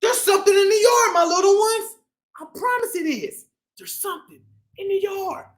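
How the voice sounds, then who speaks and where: A man hosting a children's storytime, reading a picture book aloud in an excitable, exclamatory way with drawn-out dramatic phrasing. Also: extremely high pitch. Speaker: children's storytime host and reader. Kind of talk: animated picture-book read-aloud for kids.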